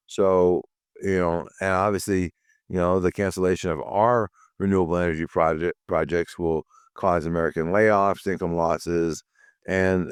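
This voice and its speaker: Low-voiced man with a rough voice. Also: no echo. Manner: dry, blunt asides with frequent ums and uhs. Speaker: host of a weekly security news update. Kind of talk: solo news commentary.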